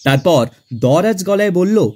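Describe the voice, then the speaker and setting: A man playing a genial middle-class clerk who narrates his own tale in an expressive, theatrical audio drama style. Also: sits high, a little slow. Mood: warm storytelling. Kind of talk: dramatised first-person audio story narration. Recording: music under the voice.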